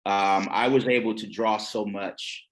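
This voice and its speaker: Man, low voice, moderator, halting speech, online call. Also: fairly even intonation. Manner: halting, with many restarts. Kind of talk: conference conversation. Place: video call.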